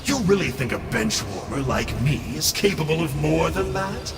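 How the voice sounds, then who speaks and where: An actor playing a smug, scheming male scholar who turns villain, with a theatrical, teasing, slow drawl. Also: fairly high pitch, sing-song, long reverb tail, distant mic, heavy background noise. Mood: sly.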